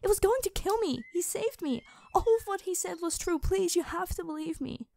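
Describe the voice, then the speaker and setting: A woman playing a distressed but stubborn young woman in an audio roleplay, speaking with a pleading, halting manner. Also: very high voice, animated, creaky, a little mellow, heavily acted. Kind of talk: cinematic audio roleplay acting.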